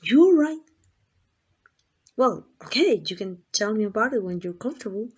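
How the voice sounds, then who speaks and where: A woman's voice: on the high side, expressive, moderate pace, slightly rough. A woman playing a lovesick, possessive female kidnapper in an intimate ASMR roleplay, doting and rambling in a flustered, coaxing way. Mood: doting, teasing. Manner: coaxing, rambling, hesitant asides.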